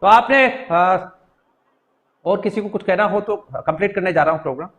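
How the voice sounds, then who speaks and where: A man's voice: high voice, moderate pace, background noise. A man with an Indian accent hosting and moderating a political discussion show. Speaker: host.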